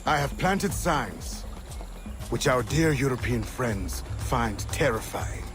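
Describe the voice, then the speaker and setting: An actor voicing a stern, paranoid, embittered mentor of assassins in a video game, curt and scolding. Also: male voice, medium pitch, lively intonation, takes it slowly, music in the background. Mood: scheming, impatient. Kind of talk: dramatic video game voice acting.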